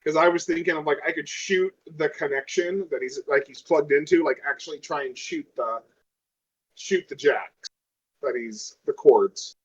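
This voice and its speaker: A man's voice: fairly high pitch, animated, moderate pace, slightly rough, lo-fi sound. A man playing a tabletop roleplaying game, chatting casually and thinking aloud in a hesitant way.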